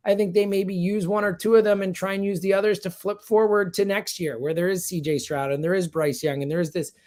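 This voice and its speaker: A man's voice: high-pitched, steady tone, fairly quick, darkish tone. Guy breaking down sports in conversation, really emphatic, repeats stuff to make the point. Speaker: sports radio host as guest analyst.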